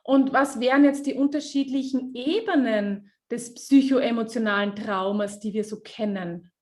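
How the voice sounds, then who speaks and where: A woman hosting a webinar on a video call and interpreting a speaker consecutively, talking quickly with many fillers and self-corrections. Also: fairly high pitch, a little mellow.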